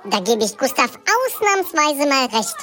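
A high, eager talking fridge. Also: sing-song, normal speed, pushed and bright, exaggerated voice. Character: know-it-all talking fridge, young. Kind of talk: kids' radio comedy.

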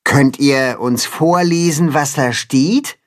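Woman doing a calm cow, slow, serious, storybook. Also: mid-range voice, dry recording, studio quality, lightly acted. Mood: patient. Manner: patient, serious.

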